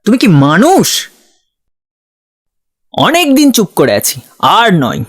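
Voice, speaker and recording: A man's voice: high voice, wildly swooping pitch, slow, full character voice. A man playing a kind, protective schoolmaster in an audio story, speaking earnestly and emphatically. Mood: indignant scolding. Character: kind, protective male schoolmaster, mentor figure.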